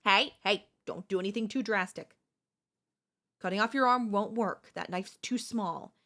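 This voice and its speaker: A woman's voice: medium pitch, a little slow, sharp tone. A woman voicing a sly, chatty female zombie in a comedic audio roleplay, coaxing and reasoning in a casual, conversational way. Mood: casually warning.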